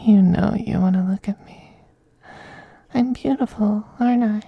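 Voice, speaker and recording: A performer voicing a seductive, possessive male femboy vampire in an intimate ASMR roleplay, whispering softly and coaxing in a teasing, gently domineering way. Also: medium pitch, measured pace, dull audio. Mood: seductive, commanding. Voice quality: breathy, soft whispering.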